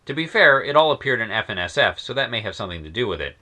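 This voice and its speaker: Lively, bright-voiced man reviewing. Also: on the low side, fast talker. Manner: wry, enthusiastic reviewer with sardonic asides. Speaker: host reviewing science fiction magazines. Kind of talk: scripted narration in a period persona.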